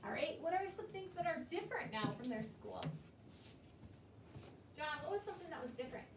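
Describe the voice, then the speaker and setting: Female primary teacher, high voice, questioning and echoing pupils' answers; distant mic in a noisy room. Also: big pitch swings, fairly quick. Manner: instructive, often repeating pupils' answers. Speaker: elementary school teacher. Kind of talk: classroom read-aloud discussion with questions to children. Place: indoor school classroom.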